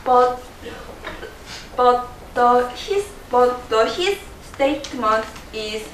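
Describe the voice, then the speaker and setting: A woman's voice: fairly high pitch, animated, extremely slow, a bit bright, slight background noise. A young Korean woman presenting in English with a Korean accent, quizzing her audience and laughing often. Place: large room with a live audience. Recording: echoey room from a distance.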